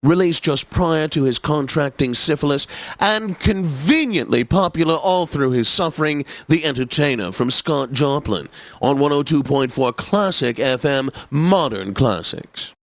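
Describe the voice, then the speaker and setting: An actor playing a pompous, snobbish classical radio DJ for a satirical video game station, with formal, theatrical enunciation. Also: male voice, on the high side, expressive, measured pace, no echo. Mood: pompous, obliging.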